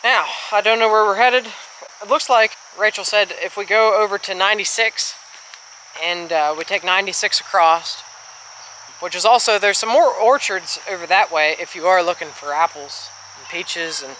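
A man in his thirties chats with his viewers in a bright, forward voice while holding the camera at arm's length. He explains what he sees as he walks along a creek outdoors. Faint crickets and insects hum in the background. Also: high-pitched, normal speed, low-quality recording. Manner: chatty, explains as he goes, addresses viewers as friends. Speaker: travel vlogger. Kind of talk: casual walk-and-talk vlog. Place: outdoors by a covered bridge and creek. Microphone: handheld camera at arm's length.

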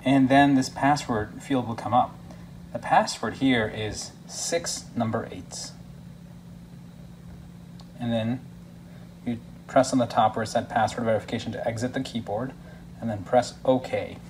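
A man's voice: mid-range voice, steady tone, a little slow, pauses often, poor audio. A man narrating a casual hands-on product tutorial as a demonstrator, explaining things step by step in an instructional way. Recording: clear background noise, room ambience.

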